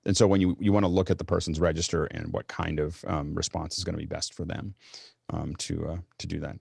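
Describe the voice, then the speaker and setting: A man's voice: low voice, level tone, fairly quick, vocal fry, a little mellow, breathy edge, dry recording, clean studio sound. A man hosting a live online tech panel, handing out questions and rambling through answers with many restarts. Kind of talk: casual panel moderation.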